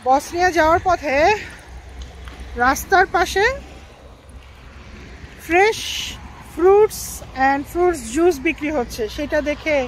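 A woman's voice: very high-pitched, takes it slowly, long pauses, a little hiss or hum, rough recording. A woman in her thirties with a Bengali accent, chatting to viewers from a moving car while narrating her road trip. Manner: chatty, addresses viewers directly. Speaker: travel vlogger. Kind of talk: casual travel vlog narration.

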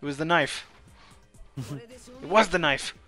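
A man in a casual, jokey amateur game dub with friends, loose and quick to swear. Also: fairly high pitch, bright, forceful tone, soft background music. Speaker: amateur fan dubber.

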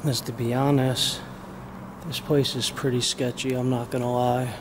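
A man talks through his walk around a large, empty jail building, in a flat, even tone with little rise and fall. His voice comes through a camera microphone with a steady hiss of background noise behind him. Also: moderate pace. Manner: low-key, conversational, pointing things out. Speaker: urban explorer host. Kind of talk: casual walkthrough narration.

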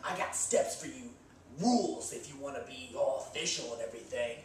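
A man's voice: medium pitch, expressive, a little fast, recorded from a distance, a little hiss or hum. Cocky young man in an echoey room. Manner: cocky meathead bravado. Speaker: comedy sketch host. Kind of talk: mock fitness advice. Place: small indoor room against a bare wall. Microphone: far camera.

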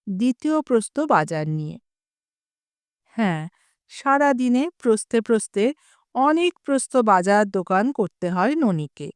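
A woman's voice: a little high, smooth, no room sound, studio quality. A woman telling a humorous short story aloud, giving the quoted lines a little expression.

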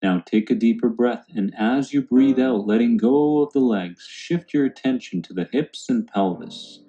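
A man calmly guiding a meditation in a soothing, unhurried way. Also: medium pitch, muffled recording. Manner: calm, soothing, unhurried instruction. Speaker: meditation guide. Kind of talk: guided meditation narration. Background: background music.

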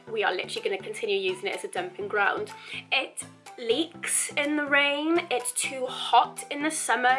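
Chatty bright-voiced young woman vlogger. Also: a little high. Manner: chatty, upbeat, rambling with restarts. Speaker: home renovation vlogger. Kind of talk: casual Q&A vlog. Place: indoors at home, virtual backdrops.

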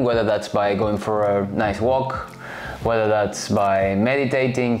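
A man in his late twenties whose first language is Spanish, talking straight to camera in a casual, direct way with offhand asides. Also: fairly low pitch. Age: late 20s. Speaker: vlogger. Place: bright home room, indoors.

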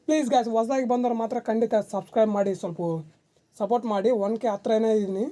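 A woman's voice: fairly high pitch, rapid-fire, warm tone. A woman giving casual gameplay commentary as host of a gaming channel. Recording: clearly noisy background with clicks.

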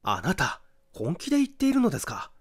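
Man acting a hurried, indignant young man. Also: a little high, huge pitch swings, breathy edge, voice pushed down, exaggerated voice. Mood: indignant disbelief. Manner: hurried, clipped protests. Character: irritable, indignant young man accused of crime. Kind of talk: dramatic audiobook mystery acting.

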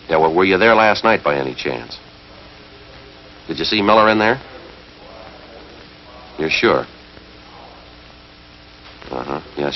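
A man's voice: very deep voice, slight background noise. An American actor in his thirties playing a terse, methodical, fair-minded police sergeant in a 1950s TV drama, speaking in a clipped, matter-of-fact way. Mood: polite, businesslike. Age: 30s. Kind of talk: 1950s TV police drama acting and scripted narration. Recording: muffled 1950s TV soundtrack, music underneath.

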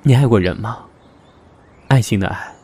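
A man's voice: lowish voice, moderate pace, a little mellow, a little hiss or hum, lightly acted. A man acting in an audio drama as a weary, conflicted young man, restrained and introspective. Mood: quiet, reflective. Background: background music.

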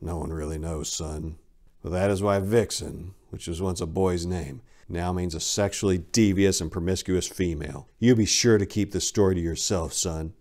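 A man voicing a father who tries awkwardly to sound comforting, hushed and soothing, in a storybook reading. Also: sits low, steady tone, normal speed. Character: awkwardly comforting father talking to his son.

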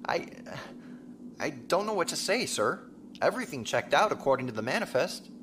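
An actor playing a dutiful imperial space officer in a science fiction audio drama, formal and military in manner. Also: male voice, a little high, very animated, normal speed, a bit bright. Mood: uneasy deference. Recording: clear background noise and ambience.

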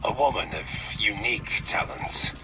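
A man's voice: on the low side, moderate pace, music in the background, close to an everyday voice, rough recording. An actor playing a ruthless, scheming man who speaks in a commanding, menacing, clipped way in a game cutscene. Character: ruthless, scheming male mercenary scientist, hard-edged. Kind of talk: dramatic game cutscene dialogue.

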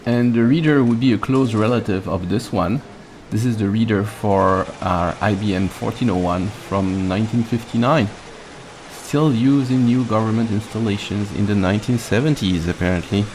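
A man's voice: fairly low pitch, moderate pace, darkish tone. A man hosting a casual, technical chat in an informal, conversational way. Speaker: host. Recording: background room noise.

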